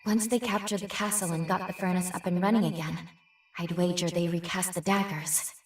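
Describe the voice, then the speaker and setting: A woman voicing a thoughtful, analytical researcher who reasons aloud in a measured way in a game cutscene. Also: lowish voice, steady tone, close to an everyday voice. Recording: roomy, slightly distant, light music.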